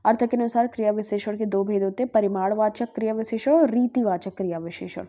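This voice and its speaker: A woman's voice: medium pitch, fast, dark tone. A woman from Bihar teaching Hindi grammar, working through past exam questions and answering her own questions as she explains. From Bihar, India. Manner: asks herself questions and answers them, often says "ठीक है".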